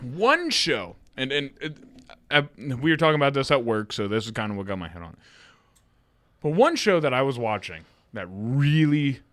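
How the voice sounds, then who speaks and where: Man, podcast co-host, very animated, bright, dry sound. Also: moderate pace, no room sound. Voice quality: naturally deep voice. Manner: animated, lots of inflection, laughs often. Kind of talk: casual chat.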